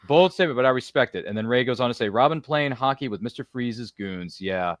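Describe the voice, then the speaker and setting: A middle-aged man hosting a casual live panel chat, animated and jokey, often breaking into exclamations. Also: medium pitch, quick pace, no room sound, studio quality. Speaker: host of a writers' roundtable.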